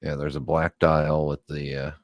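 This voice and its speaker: Very deep-voiced man, casual chat. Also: level tone, moderate pace, no echo, studio quality. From Southern California. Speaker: co-host.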